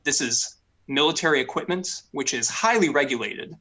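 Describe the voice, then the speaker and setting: A man's voice: on the high side, animated, moderate pace, bright, forceful tone. A man voicing a stern, officious older noblewoman investigator in a tabletop game, commanding and insistent.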